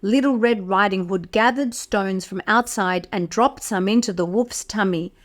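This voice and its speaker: A woman reading a picture book aloud to toddlers as a storyteller, expressive and speaking directly to young listeners. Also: medium pitch, normal speed. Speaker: children's storyteller.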